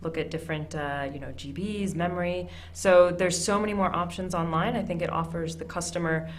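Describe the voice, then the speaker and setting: A woman's voice: lowish voice, fairly even intonation, fairly quick, a little hiss or hum. A woman, a country manager of an online shop, in a TV business interview, talking in an upbeat, fluent way with fillers. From United States.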